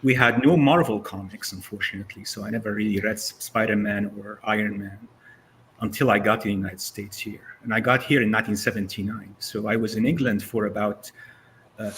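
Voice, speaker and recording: A man's voice: on the low side, fairly even intonation, a little fast, fairly bright tone, a little hiss or hum, rough recording. Syrian-born man in his sixties, storytelling. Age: 60s. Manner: conversational storytelling with frequent fillers. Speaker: guest speaker. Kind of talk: casual presentation over video call.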